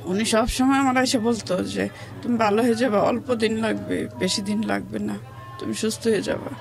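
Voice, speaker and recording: A Bangladeshi woman speaks as an interview guest at a medium pitch, with music playing behind her. She tells her personal story in plain, colloquial words, often quoting other people. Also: steady tone, moderate pace, vocal fry. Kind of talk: personal testimony in colloquial speech. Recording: music under the voice.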